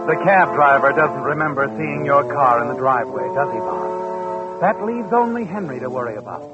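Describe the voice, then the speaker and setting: An actor playing an ominous all-knowing narrator, taunting and deliberate, in the style of 1940s radio mystery. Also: male voice, on the high side, expressive, natural voice. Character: ominous omniscient male narrator addressing the culprit. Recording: dull vintage radio recording. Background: music.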